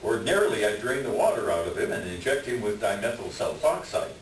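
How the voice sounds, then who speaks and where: A man acting a glib, evasive con man posing as a doctor in a stage reading, halting as he smooth-talks. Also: on the low side, sing-song. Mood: glib, unruffled. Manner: hesitant, halting, smooth-talking. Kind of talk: staged readers' theater acting. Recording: echoey room, distant mic, faint noise.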